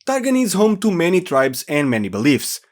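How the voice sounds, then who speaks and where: A man presents a scripted explainer as the host. His voice sits a little high and moves up and down in a very animated way as he narrates. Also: brisk, soft background music. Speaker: video host, game lore explainer.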